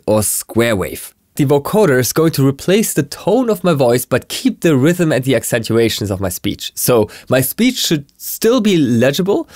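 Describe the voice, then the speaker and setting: A man in his thirties giving a conversational, explanatory music software tutorial in an expressive way. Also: mid-range voice, no echo, studio quality. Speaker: music production tutorial presenter. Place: small home studio, indoors.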